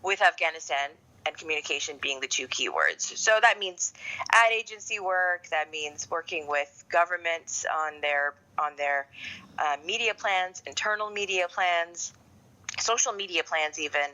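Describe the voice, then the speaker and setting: A middle-aged woman from Canada talking as a podcast guest in a measured, reflective, conversational way. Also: fairly low pitch, lively intonation, sharp tone, rough recording. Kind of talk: conversational interview.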